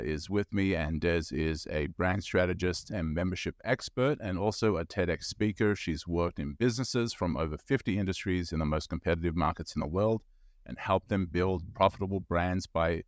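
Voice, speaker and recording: A man's voice: low voice, no room sound, clean studio sound. A man hosting a podcast, chatty and friendly, introducing his guest with plenty of fillers. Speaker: podcast host. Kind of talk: casual interview hosting.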